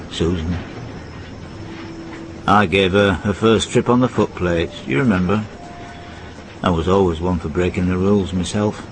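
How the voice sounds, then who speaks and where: Deep-voiced, weary train driver. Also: male voice, background noise. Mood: fond, wistful. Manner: reflective, addressing absent friends, measured phrasing. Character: weary veteran railwayman train driver, fatalistic, fond, reflective. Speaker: actor in a radio play. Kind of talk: radio drama monologue.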